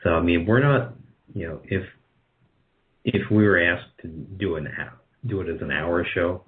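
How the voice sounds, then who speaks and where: A man with a very low voice talks casually as an interview guest. He is modest about himself and wanders through restarts as he explains. The audio is dull and lo-fi. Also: measured pace. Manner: self-deprecating, rambling with false starts. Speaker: guest, radio drama producer.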